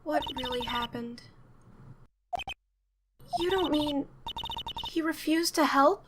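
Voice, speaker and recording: A woman voicing a soft, vulnerable young woman in a video game fan dub, on a noisy recording. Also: high-pitched, slow pace. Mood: dejected.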